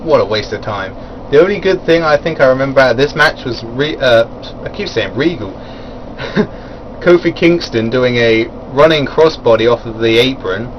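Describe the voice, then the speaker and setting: A man's voice: medium pitch, animated, normal speed, dull audio, slight background noise. A man giving a casual, rambling spoken review of a wrestling event, full of fillers and chuckling at his own asides.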